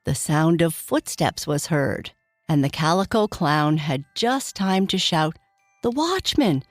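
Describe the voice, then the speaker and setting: A woman with a slightly low voice tells a children's bedtime story. She reads very slowly with lots of melodic movement, and music plays underneath. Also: no room sound, studio quality. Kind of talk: expressive scripted children's storytelling.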